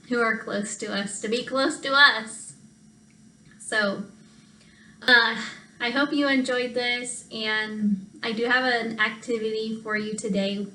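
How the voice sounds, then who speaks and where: A woman reading a picture book aloud for children, expressive and speaking directly to her young listeners. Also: a little high, slight background noise. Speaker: storytime reader, teacher. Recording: roomy echo.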